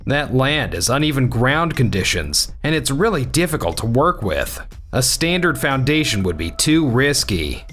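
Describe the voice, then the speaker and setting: A man's voice: medium pitch, very animated, normal speed, fairly bright tone, soft background music. A man voicing a worried, earnest construction manager who explains a problem in a manga dub. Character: worried middle-aged male construction manager.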